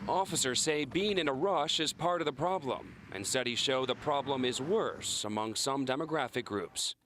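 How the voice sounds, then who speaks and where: A man's voice: mid-range voice, normal speed, fairly bright tone, slight background noise. A man narrating a scripted investigative news report as a television reporter.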